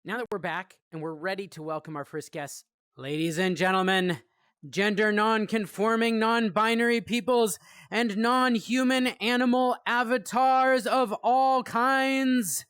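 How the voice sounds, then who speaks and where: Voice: medium pitch, fairly even intonation, unhurried, a bit bright, clean tone, dry recording. Playful talk show host, dry close sound.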